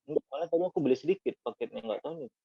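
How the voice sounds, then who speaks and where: A man's voice: mid-range voice, expressive, racing, breathy edge, dry recording. Man, easygoing guest, chatty, muffled dry call audio. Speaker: podcast guest. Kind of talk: casual chat over a video call. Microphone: video call audio.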